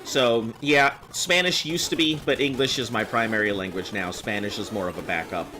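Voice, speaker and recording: A man's voice: fairly low pitch, normal speed, pushed and bright, a little hiss or hum. A man in his thirties who sounds much younger, chatting casually on a game stream and rambling with fillers. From United States. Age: 30s.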